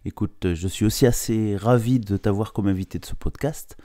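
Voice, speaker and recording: A man's voice: fairly low pitch, moderate pace, warm tone, no echo, studio quality. A man hosting a podcast, reading a friendly intro and guiding the interview. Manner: friendly, slightly scripted intro. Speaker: podcast host.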